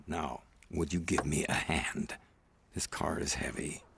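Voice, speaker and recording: Menacing gangster boss, deep voice, slow silky delivery, condescending, video game cutscene, noisy background. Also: male voice, fairly even intonation, gravelly, heavily acted. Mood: cold mockery. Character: menacing older male crime boss, coldly mocking and condescending.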